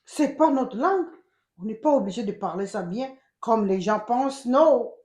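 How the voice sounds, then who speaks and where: A woman with a Congolese accent giving emphatic, animated casual commentary as a channel host, switching between French and Lingala. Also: on the high side, normal speed, roomy sound. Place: United States.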